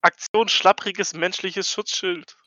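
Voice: mid-range voice, steady tone, slow, pushed and bright, no echo, muffled recording. A player in a tabletop game podcast bantering casually in a cheeky, slangy way.

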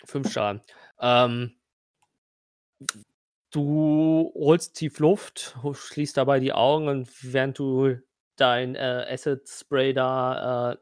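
A man runs a tabletop role-playing game as its game master. He builds his descriptions haltingly, addressing the player directly in an informal way. His voice is close and dry, with hardly any room sound. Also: medium pitch, little pitch movement, clear, resonant tone, no room sound.

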